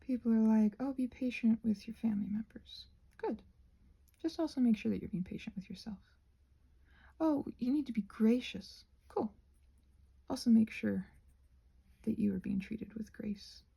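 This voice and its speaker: A woman rambling casually in an ASMR style while crafting, musing aloud and gently reassuring, her soft breathy voice often slipping into a whisper. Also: fairly high pitch, moderate pace, pauses often, highs cut off.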